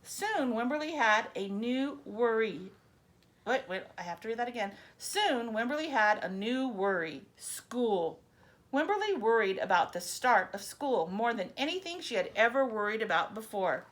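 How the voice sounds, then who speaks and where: A woman's voice: fairly high pitch, normal speed. A woman reads a children's picture book expressively, breaking off for chatty asides to her young listeners. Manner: animated storyteller, chatty asides addressed to children.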